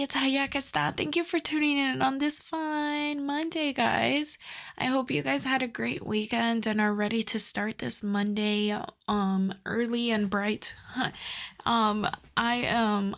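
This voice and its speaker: A woman's voice: fairly high pitch, little pitch movement, a little slow, vocal fry. A woman, an ASMR channel host, chatting casually to her listeners.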